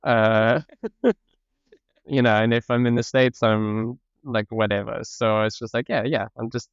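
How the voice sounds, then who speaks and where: A man's voice: medium pitch, fairly even intonation, unhurried, vocal fry, smooth, no room sound, dull audio. A man with a mixed French and South African accent, talking as a podcast guest in an easygoing way, often laughing. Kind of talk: casual conversational interview.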